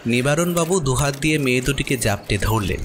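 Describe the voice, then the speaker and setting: A man's voice: mid-range voice, animated, brisk, slight background noise. A man narrating a horror story expressively from a script.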